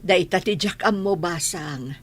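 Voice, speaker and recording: A woman playing an elderly, plain-spoken village grandmother in a radio drama, worried, with a husky voice and a Filipino accent. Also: lowish voice, measured pace. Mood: worried, resigned.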